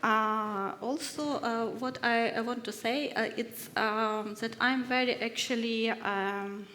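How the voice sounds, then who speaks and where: A middle-aged woman from Ukraine, speaking halting with many hesitations as a research fellow at a workshop. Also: a little high, steady tone, pushed and bright. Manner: halting non-native English, frequent hesitations. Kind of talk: workshop panel talk. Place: conference hall. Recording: reverberant hall, faint background noise.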